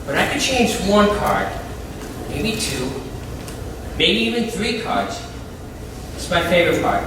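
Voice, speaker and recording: A man acting on a community theatre stage as an earnest, excitable, grateful ex-convict, speaking emphatically with halting pauses. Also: high-pitched. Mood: earnest, reflective. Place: indoor theatre stage, mic far off. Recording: distant, very echoey stage recording with clear noise.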